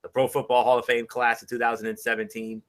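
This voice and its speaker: A man hosting a sports talk show, conversational, trailing off quietly at the ends of phrases. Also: medium pitch, monotone, fast. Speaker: host.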